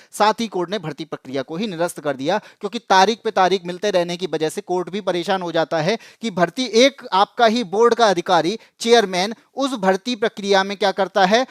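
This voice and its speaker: A man's voice: sits high, no room sound, studio quality. A man presenting an explanatory news update to students, emphatic and repeating phrases for stress. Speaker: exam coaching channel presenter.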